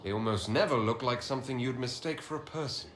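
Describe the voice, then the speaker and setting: A man's voice: mid-range voice, fast talker, a bit bright, reverberant, slight background noise, close to an everyday voice. A man playing a thoughtful, curious leader in a fantasy video game, frank and conversational. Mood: reasoning. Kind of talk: dramatic video game acting.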